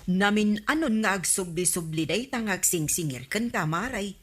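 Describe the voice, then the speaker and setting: An actress with an Ilocano accent playing a nosy, chiding neighbor who nags about debts in comedy radio drama style. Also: female voice, medium pitch, expressive, normal speed, slightly rough.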